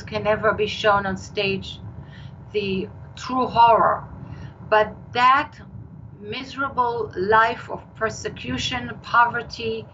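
Slow-spoken Israeli woman, bright voice. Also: mid-range voice, highs cut off, a little hiss or hum. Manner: slow, measured storytelling with occasional restarts. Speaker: novelist guest. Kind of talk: interview conversation.

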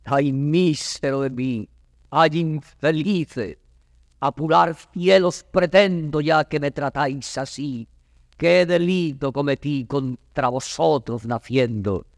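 Casting: anguished captive prince, verse drama, slow gravelly declamation, reverberant recording. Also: male voice, mid-range voice, studio quality. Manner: solemn, drawn-out, impassioned declamation. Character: anguished imprisoned young man lamenting his fate.

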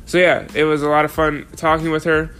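A man's voice: on the high side, monotone, a little fast, fairly bright tone, smooth, faint music behind. Upbeat young male podcast host. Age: young adult. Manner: enthusiastic, loose with fillers. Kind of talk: casual podcast interview.

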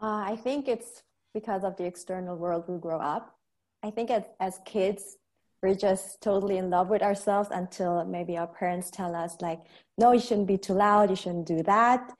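A woman's voice: medium pitch, normal speed. A woman speaking as a panel guest, explaining her views in a thoughtful way. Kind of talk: casual panel chat.

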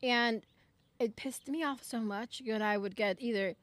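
A woman's voice: on the high side, unhurried, no echo. A young woman from Ecuador, co-hosting a podcast and telling stories in casual chat, acting out the people she quotes. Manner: animated storytelling, acts out quoted people. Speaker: podcast co-host.

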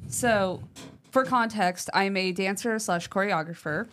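A woman co-hosting a paranormal podcast, chatting casually and reading a listener's letter aloud with lively emphasis, using fillers like "like" and "um". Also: medium pitch, measured pace, a little hiss or hum. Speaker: podcast co-host.

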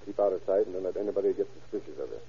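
A man's voice: sits low, very fast, dark tone, husky, heavily acted. A man in an old radio drama playing a tough, cool undercover narcotics detective, clipped and hard-boiled. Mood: brisk, commanding. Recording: muffled, noisy vintage radio recording.

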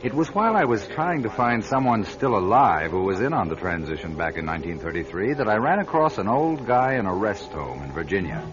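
A man's voice: on the low side, sing-song, a little fast, few pauses. An earnest, driven newsman. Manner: earnest, urgent storytelling. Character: driven male network journalist narrating his find. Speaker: narrator. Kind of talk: 1970s radio drama narration. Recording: muffled old radio broadcast with music.

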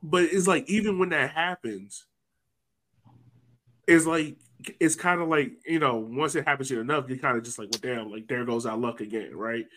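A man's voice: mid-range voice, moderate pace, a bit bright. A man talking casually and colloquially as a sports podcast host, sometimes voicing imagined reactions.

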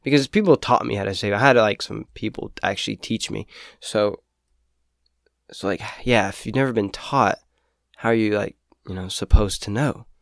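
Raspy teen guy with a cold. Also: fairly low pitch, normal speed, no room sound, studio quality. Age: teens. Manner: rambling, lots of fillers, upbeat. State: sick with a cold. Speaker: podcast host. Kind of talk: casual solo talk about saving money.

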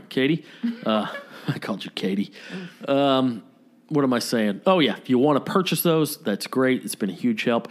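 A man's voice: mid-range voice, lively intonation, normal speed. A man hosting a podcast in a casual, folksy, slangy way. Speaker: podcast host. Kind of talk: casual chat.

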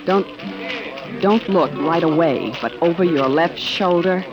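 An actress playing an anxious, frightened wife in an old-time radio drama, with breathless, emotional delivery. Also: female voice, lowish voice, a little slow, lo-fi sound, lightly acted. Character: anxious young wife, frightened of being followed. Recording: vintage radio recording, band-limited, music behind.

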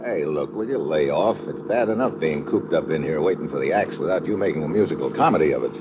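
An actor playing a wry, tough American adventurer in an old-time radio drama, dry and sardonic, on a muffled, noisy vintage recording. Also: male voice, rumbling low, lively intonation, quick pace. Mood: wary, irritated. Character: wry, tough American undercover adventurer narrating his own exploit. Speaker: lead actor and narrator.

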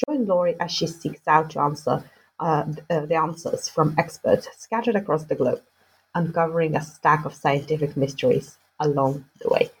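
A woman's voice: sits low, normal speed, breathy edge, poor audio. A woman delivering a scripted introduction as a show announcer.

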